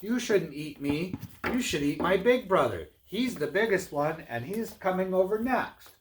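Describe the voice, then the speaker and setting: A man voicing a calm, persuasive middle billy goat brother for a storybook, in a steady voice. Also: sits high, a little slow, voice pushed up. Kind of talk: storybook read-aloud. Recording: clear background noise.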